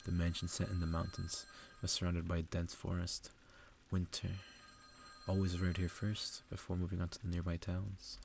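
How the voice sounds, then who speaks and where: A man reads a story in a very low, even voice with heavy fry. His delivery is soft and unhurried ASMR narration, with faint noise behind it. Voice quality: low, gravelly, soft-spoken. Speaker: ASMR reader.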